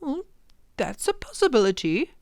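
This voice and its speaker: A woman voicing a polite, easygoing male professor in a storybook, quietly reasoning and determined. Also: a little high, sing-song, normal speed, dry recording, clean studio sound, pitched above the performer's own voice. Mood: calm.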